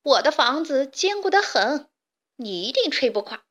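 Boastful proud piglet, big brother of three, storybook, bright high voice, played by a woman. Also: big pitch swings, moderate pace. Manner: boastful, then panicked.

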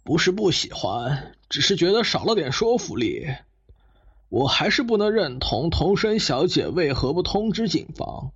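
A man's voice: on the high side, big pitch swings, a bit bright, husky, exaggerated voice. An actor playing a skeptical middle-aged father who objects conversationally in a Chinese audio detective drama. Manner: doubting, conversational, quick to object. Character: skeptical middle-aged father, matter-of-fact relative in family debate. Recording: slightly muffled, music underneath.

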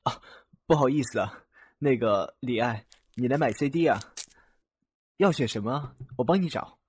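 A man's voice: medium pitch, moderate pace, husky, lightly acted. A man acting a kind, easygoing young student in an audio drama, casual and a little bashful.

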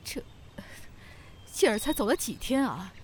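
A woman plays A middle-aged mother from a Chinese period radio play, scolding in a lively, swooping voice. She is bossy and nagging, with faint ambient noise behind her. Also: fairly high pitch. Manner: scolding, brisk commands. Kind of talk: Chinese period audio drama acting.

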